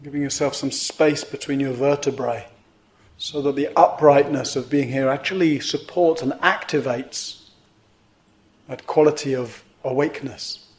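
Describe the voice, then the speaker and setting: A man with a medium-pitched voice leads a guided meditation as its teacher. He gives gentle instructions in measured phrases. Pauses sit between the phrases, leaving space after each one. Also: steady tone.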